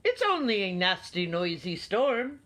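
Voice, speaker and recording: A woman's voice: mid-range voice, big pitch swings, measured pace, fairly bright tone. A woman voicing a kindly male owl who takes charge in a storybook, speaking in an emphatic, reassuring way.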